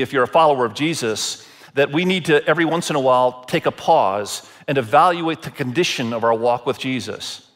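Male pastor from Indonesia, slightly high voice, deliberate sermon delivery with emphatic repetition. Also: fairly bright tone. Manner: deliberate, repeats phrases for emphasis. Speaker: pastor preaching to a church congregation.

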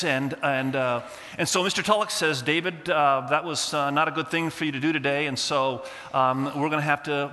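A man preaching and telling a humorous story in a lively way, with a fairly high, bright voice. He grew up in Indonesia and spoke Indonesian before English. His voice rings out with the long echo of a big hall. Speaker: pastor. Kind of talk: sermon storytelling.

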